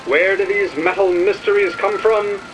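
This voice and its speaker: An actor playing a chirpy retro promotional film announcer with a booming, folksy showman delivery. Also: normal speed, over music, lightly acted, low-quality recording. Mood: peppy salesmanship. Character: chirpy retro newsreel announcer for an underwater city. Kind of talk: 1950s promotional film narration.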